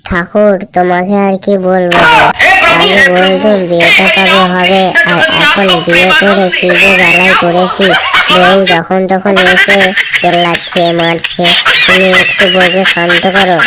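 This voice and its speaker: A man's voice: high voice, flat delivery, measured pace, heavy background noise. A man playing brash, foul-mouthed young village friends who grumble and bicker in an amateur comedy cartoon. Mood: pleading, grumbling. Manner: colloquial, bickering, coarse. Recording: loud music under the voice.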